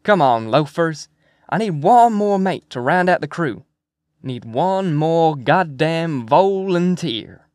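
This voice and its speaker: A man's voice: on the high side, very animated, moderate pace, no echo, clean studio sound, voice pushed up. A man voicing a brash, cajoling ringleader in an audiobook, hearty and pushy with colloquial talk. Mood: goading, loud. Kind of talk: acted audiobook character dialogue.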